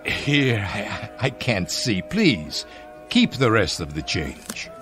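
Casting: frail-mannered old gentleman, anxious and courteous, slow halting delivery, widely varying pitch. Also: big pitch swings, faint music behind, exaggerated voice. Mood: nervous, hesitant. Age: older. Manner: hesitant, stammering, polite. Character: anxious, devoted, proper elderly husband. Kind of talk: radio drama acting.

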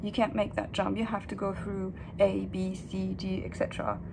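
A young woman talks casually into a phone camera in a hotel room. Her voice is soft, thoughtful and a little hesitant, with a gravelly fry at the ends of phrases. A steady hiss of room noise sits behind her. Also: mid-range voice, moderate pace. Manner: soft, reflective, hesitant with fillers. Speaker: vlogger. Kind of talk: casual vlog talk to camera.

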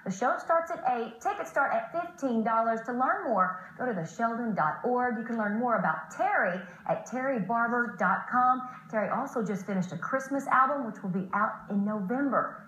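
A woman's voice: a little high, expressive, normal speed, few pauses, fairly bright tone, reverberant, a little hiss or hum, poor audio. An American woman narrating a scripted, upbeat local television feature as a reporter.

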